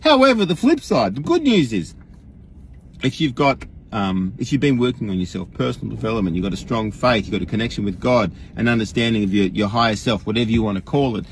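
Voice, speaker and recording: An Australian man imitating an earnest, rambling online guru in a folksy, emphatic livestream monologue, with a husky voice. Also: lowish voice, animated, fast, slight background noise. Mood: earnest, preachy. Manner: folksy, emphatic, rhetorical questions, frequent chuckles.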